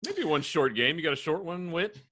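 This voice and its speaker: Brief: playful co-host man, animated delivery with a bright, sharp tone. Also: a little high. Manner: playful, quick to laugh. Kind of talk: casual chat. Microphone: video call.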